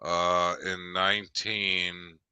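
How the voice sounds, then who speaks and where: Slow-talking rambling older man. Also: low voice, flat delivery, bright, forceful tone, smooth, dry recording. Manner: rambling, halting, many restarts and fillers. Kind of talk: casual chat.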